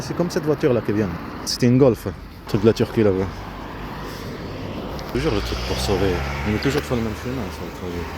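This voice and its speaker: Rambling man over loud outdoor traffic noise. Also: on the low side. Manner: repetitive, fragmented, drifting train of thought. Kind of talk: rambling spontaneous monologue. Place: outdoors near road with vehicles.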